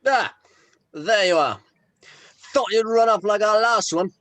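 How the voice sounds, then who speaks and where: A man playing a friendly, excitable, tipsy bandmate in a script table read, breezy and exclaiming. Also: high-pitched, a little slow. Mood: breezy, cheerful. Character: friendly, excitable, tipsy bandmate James, smoking outside pub.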